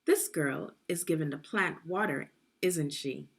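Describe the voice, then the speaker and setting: A woman's voice: low voice, a little slow. A woman narrating a guided read-along for children, speaking in an instructive way and putting questions to young listeners. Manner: clearly enunciated, instructive, addressing young learners.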